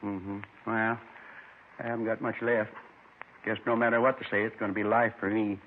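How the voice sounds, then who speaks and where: A man acts out a resigned old fellow in his seventies, caught and full of regret. His voice is husky and halting, plain-spoken and meek, on a muffled old radio drama recording. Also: on the low side, little pitch movement, lightly acted. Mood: worried, regretful. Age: 70s. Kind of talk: 1950s radio drama acting.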